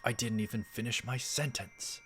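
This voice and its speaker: A man reading visual novel text aloud in a measured way, dropping in casual asides as a let's player. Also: medium pitch, steady tone, a bit bright, a little hiss or hum. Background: game music.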